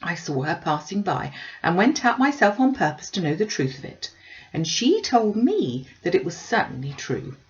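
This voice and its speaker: A woman's voice: on the low side, big pitch swings, moderate pace, highs cut off. A woman voicing a busy middle-aged gossiping aunt in a classic novel, chatty and matter-of-fact. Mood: confiding. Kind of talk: classic novel read-aloud.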